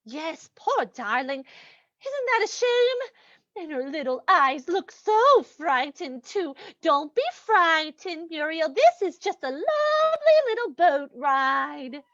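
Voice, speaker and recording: A woman's voice: sits high, sing-song, slow pace, few pauses, fairly bright tone, dry recording, dull audio, heavily acted. A woman playing a gloating, sweetly cruel lady murderess in an old-time radio mystery, cooing mock sympathy at her victim.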